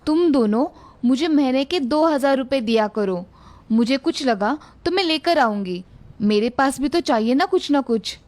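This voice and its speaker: A woman's voice: high-pitched, expressive, normal speed, a little mellow. A woman voicing a greedy, scheming old village mother-in-law in a cartoon story. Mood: demanding. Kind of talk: Hindi cartoon story voice acting.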